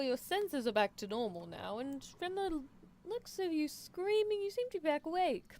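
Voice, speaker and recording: Woman playing an eerie, high-pitched, playful mad-scientist girl. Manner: teasing, unhurried, murmured musing with hums. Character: eerie, playful mad-scientist horse girl doting on her test subject. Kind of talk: ASMR roleplay livestream.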